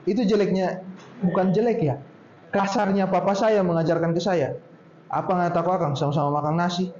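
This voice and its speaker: High-voiced man with a Poso accent. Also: steady tone, a little mellow, slight background noise. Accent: Poso regional Indonesian. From Poso, Central Sulawesi, Indonesia. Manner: chatty, drawn-out storytelling tone. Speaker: speaker at a talk. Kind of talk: casual talk to a group. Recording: roomy, dull lo-fi sound with faint ambience.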